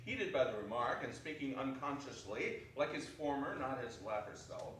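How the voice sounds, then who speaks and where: A man's voice: mid-range voice, animated, normal speed, a bit bright. A man reading scripted narration in a theatrical style. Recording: noisy echoey hall from far off.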